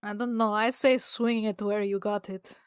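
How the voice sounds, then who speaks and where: A woman's voice: mid-range voice, fairly even intonation, moderate pace, smooth, no room sound, studio quality. A woman playing a tabletop role-playing game, trading casual banter in character.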